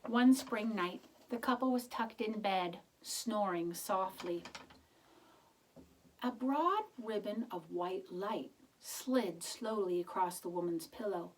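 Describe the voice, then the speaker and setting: A woman's voice: mid-range voice, slow pace, far from the mic, a little hiss or hum, poor audio. A woman reading a picture book aloud to children, expressively, with chatty explanatory asides. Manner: expressive, explains words and asks the listener questions. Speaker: children's storybook reader.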